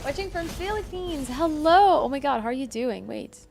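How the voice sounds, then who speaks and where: Female game streamer, highish voice, casual chatty delivery with exclamatory reactions, faint game music behind. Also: lively intonation, moderate pace, gravelly. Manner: gushing, exclamatory. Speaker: livestream gamer.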